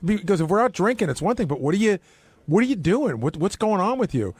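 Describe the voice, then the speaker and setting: A man's voice: high voice, big pitch swings, fast talker, a little mellow, husky, no echo. A man in a casual panel discussion, telling stories conversationally and quoting exchanges. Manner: conversational storytelling, acting out quoted exchanges. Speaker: radio show staff member.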